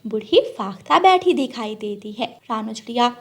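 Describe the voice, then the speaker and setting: A woman's voice: on the high side, expressive, normal speed. A woman narrator reading a scripted children's story.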